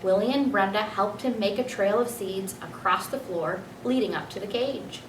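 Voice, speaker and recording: A woman reading a children's book aloud as expressive storybook narration. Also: mid-range voice, normal speed. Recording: roomy, distant mic, faint noise.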